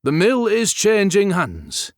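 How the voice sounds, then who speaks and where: A man voicing a gentle, resigned older Victorian mill manager in an audiobook, wearily questioning and chiding his clerks. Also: sits high, wildly swooping pitch, slow pace, no room sound, studio quality, voice pushed up, full character voice. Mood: placid, announcing.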